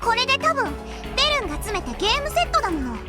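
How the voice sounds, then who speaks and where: A woman's voice: very high voice, very animated, very fast, sharp tone, soft background music, exaggerated voice. A woman playing a childish, smug girl witch in an anime-style visual novel, with a taunting, theatrical delivery. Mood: gleeful, showy.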